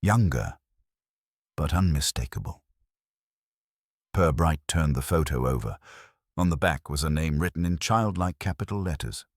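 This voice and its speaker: A man's voice: a bass voice, animated, normal speed, long pauses, no echo, studio quality. A man reading scripted narration of a detective mystery story.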